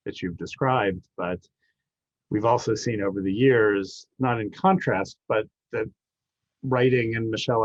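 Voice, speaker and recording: Low, halting man, slightly dull sound. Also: no echo. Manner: halting, measured, thoughtful phrasing. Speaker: law school dean. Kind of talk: reflective closing remarks.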